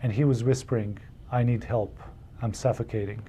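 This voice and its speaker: A man from Serbia with a Serbian accent, telling a personal story in earnest, halting English with frequent restarts. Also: mid-range voice, fairly even intonation, warm tone. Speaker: public health colleague telling his story. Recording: faint office noise.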